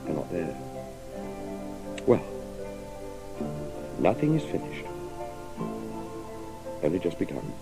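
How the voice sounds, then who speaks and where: An actor playing a pensive, hesitant father in a radio drama, halting and reflective. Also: deep voice, sing-song, over music, full character voice, poor audio. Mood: subdued, reflective. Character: pensive, hesitant father narrating his strange dreamlike adventures. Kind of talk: 1980s radio drama acting.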